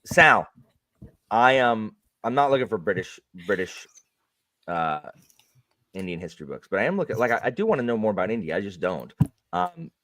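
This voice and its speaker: A man hosting a livestream, chatting casually in a lively, rambling way. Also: on the low side, normal speed, long pauses, no room sound. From Canada. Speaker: streamer host.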